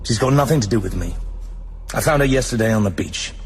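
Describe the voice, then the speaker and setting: A man's voice: on the low side, animated, fast talker, slight background noise. A man playing a cool, defiant British secret agent in a radio drama, speaking bluntly and with assurance. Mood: firm. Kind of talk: broadcast radio drama acting.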